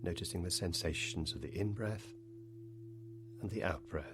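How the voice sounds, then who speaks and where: A man leading a guided meditation, speaking in unhurried phrases with long pauses between them. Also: low voice, noisy background.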